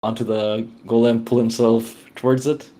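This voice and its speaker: A man's voice: mid-range voice, level tone, moderate pace, mellow. A man chatting casually as a player in a tabletop role-playing game, thinking aloud hesitantly.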